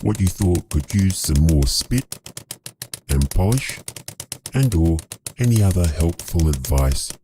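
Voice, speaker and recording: A man with a gravelly voice giving a scripted appeal to viewers as a storyteller, in a formal, wordy, drily playful manner. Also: low-pitched, expressive, takes it slowly, pauses often, noisy background. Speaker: storyteller and creator addressing viewers.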